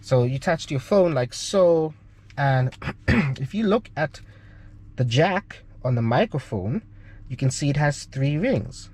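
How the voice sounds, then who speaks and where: A man's voice: on the high side, animated, measured pace, darkish tone, breathy edge. South African man giving an informal tutorial. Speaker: aviation channel host. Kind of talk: informal spoken tutorial, demonstrating gear.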